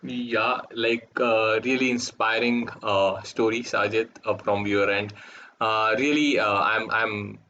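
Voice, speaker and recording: A man hosting an online community event, speaking haltingly with many fillers. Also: lowish voice, fairly even intonation, pushed and bright. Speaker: host. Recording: muffled call audio with faint noise.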